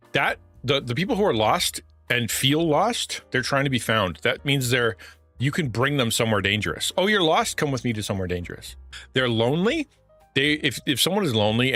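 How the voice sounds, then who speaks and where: A middle-aged man, a pastor, talking earnestly to his stream audience in a deep, fatherly voice. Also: sharp tone, no room sound. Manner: earnest, repeats words, says "right?" often. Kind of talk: livestream talk, reading and commenting.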